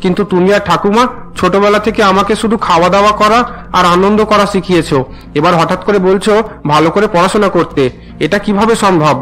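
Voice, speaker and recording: A man's voice: high-pitched, fairly even intonation, fast talker, a bit bright, rough recording. A man voicing a defiant, sulky teenage schoolboy in a melodramatic cartoon, complaining and justifying himself. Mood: defensive. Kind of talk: melodramatic Bengali cartoon dubbing.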